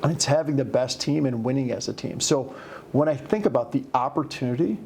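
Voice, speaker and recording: A man leading a corporate interview, asking questions in a measured, encouraging way. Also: mid-range voice, creaky, darkish tone, a little hiss or hum. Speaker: company leader interviewing a colleague.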